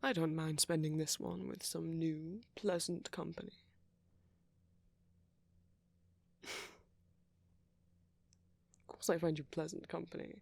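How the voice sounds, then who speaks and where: An ancient vampire gentleman, acted by a man for an ASMR roleplay, speaks very close and dry. His voice is breathy and husky with a gravelly fry, often slipping toward a whisper. He is courteous, friendly and teasingly flirtatious, with soft hesitations. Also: on the high side, flat delivery, a little slow, long pauses, dry recording. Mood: teasing, flirty. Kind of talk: ASMR roleplay monologue, intimate and conversational.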